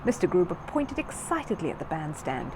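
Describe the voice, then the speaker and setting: A woman's voice: on the low side, big pitch swings, brisk, noisy background. A woman narrating a children's story from a script as a storyteller.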